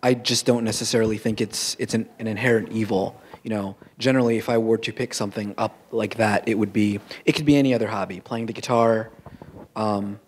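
A young man on a panel answering a question frankly and in measured steps, speaking into a handheld mic on an auditorium stage. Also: on the low side, steady tone, breathy edge. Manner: frank, measured, reasoning aloud. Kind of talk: panel discussion.

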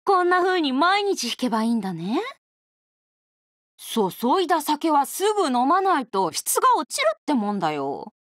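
A woman voicing a bold, hard-drinking female oni brawler with cocky, easygoing, rough tomboyish speech for a video game. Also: high voice, huge pitch swings, normal speed, dry recording, clean studio sound. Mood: easygoing, confident. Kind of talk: video game character voice lines.

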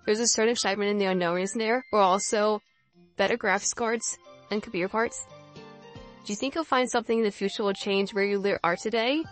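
A woman voicing a polite, thoughtful, inquisitive young engineer in a visual novel, with formal, measured phrasing. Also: mid-range voice, steady tone, soft background music, lightly acted. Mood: pleasant, curious. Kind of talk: visual novel character dialogue.

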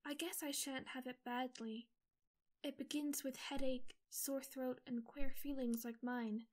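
A woman playing a gentle shy teenage girl. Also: sits high, fairly even intonation, measured pace. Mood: shaken. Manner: soft, timid. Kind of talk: amateur audio drama acting.

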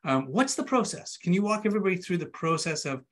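A man's voice: high-pitched, lively intonation, fast talker. Informal man hosting an online webinar. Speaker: webinar host. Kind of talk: conversational hosting. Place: indoor room with bookshelves, on a video call. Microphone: video call microphone.

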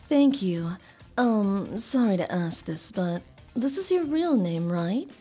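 A woman playing a polite, soft-spoken and hesitant lodge keeper in her early thirties in a manga dub. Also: medium pitch, sing-song, slow pace, faint music behind.

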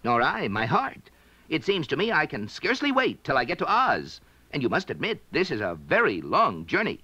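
A man voicing a patient tin man in a calm, gentle, slightly husky voice. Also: on the high side, moderate pace, dry recording, voice pushed up, full character voice, poor audio.

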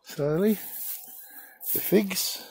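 A man giving a casual, halting tour of his backyard garden, filmed on a handheld phone outdoors. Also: high voice, vocal fry, darkish tone, husky, poor audio. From Australia. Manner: slow, halting, with frequent ums and uhs. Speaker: gardening channel host.

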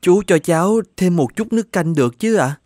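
A man voicing a poor, polite young student in an animated moral tale, hesitant and deferential as he talks. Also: sits high, fairly quick, dry recording, studio quality. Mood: shy, polite.

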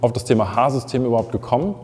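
Young guy hosting a chat, mellow tone, echoey studio, soft music. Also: medium pitch, rapid-fire. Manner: interviewing, hesitant with fillers. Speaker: host. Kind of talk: casual interview chat. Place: photo studio with grey backdrop.

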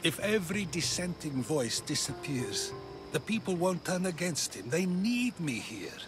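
A calm old philosopher. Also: male voice, on the high side, measured pace, over music. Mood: resolute. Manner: calm, principled, reflective. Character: older bearded male philosopher. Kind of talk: video game cutscene acting.

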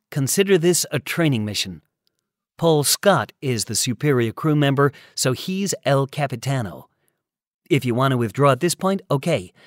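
A man acts out a brisk young woman rescue pilot for an audiobook. The voice is lifted high and pushed louder, with a confident, slangy and commanding manner. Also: no echo, clean studio sound, close to an everyday voice.

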